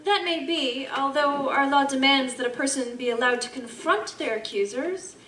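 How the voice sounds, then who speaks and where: Woman acting a high-voiced formal counsellor, echoing room. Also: normal speed, a bit bright. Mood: reasoning, persuasive. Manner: formal, polite, reasoning. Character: courteous, reasoning woman questioning and counselling a troubled scientist. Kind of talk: formal period audio drama acting. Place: live convention performance in a room. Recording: roomy, noisy live stage recording, distant mic.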